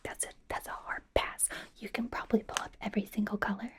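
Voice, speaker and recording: A woman in an ASMR roleplay playing a doting, bossy young pop idol turned fashion stylist, in a soft breathy whisper with a playful, halting delivery. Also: medium pitch, level tone. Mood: playfully stern. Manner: playful, gushing, gently insistent, halting. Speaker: ASMR performer. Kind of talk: ASMR roleplay, personal attention.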